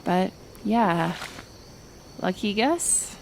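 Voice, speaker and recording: A woman playing a kind, stubborn healer in an intimate ASMR roleplay, speaking in a gentle, halting, soothing way that often slips into whispers. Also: medium pitch, expressive, gravelly, slight background noise, full character voice, low-quality recording. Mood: curious, dry.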